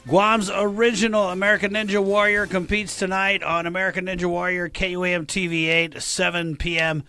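A man anchoring a local TV sports segment in an energetic, exclamatory, punchy style. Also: high-pitched, a little fast, faint music behind. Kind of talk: upbeat sports newscast.

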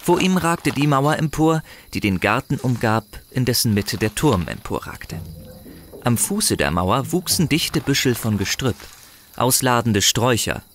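A man's voice: medium pitch, sing-song, a little slow, a bit bright, a little hiss or hum. A man narrating a fantasy audio drama in a dramatic, scripted storytelling manner.

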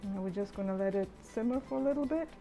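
A middle-aged woman calmly talking through her cooking steps in a chatty home tutorial voice-over. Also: mid-range voice, quick pace, soft background music. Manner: relaxed, chatty step-by-step explaining. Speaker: home cook.

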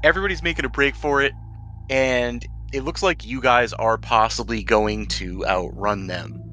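A man's voice: mid-range voice, normal speed, pushed and bright. A man running a tabletop game, narrating scenes and explaining rules in an improvised way. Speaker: game master of a tabletop RPG podcast.

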